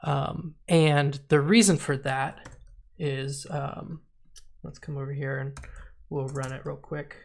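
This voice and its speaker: A man's voice: a little high, steady tone, slow, gravelly. Stuffy-nosed man mumbling through code. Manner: thinking aloud with many fillers, trailing off to mutter while typing. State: stuffy, sniffly nose. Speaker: programmer streaming a coding session. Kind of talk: casual screen-share coding walkthrough.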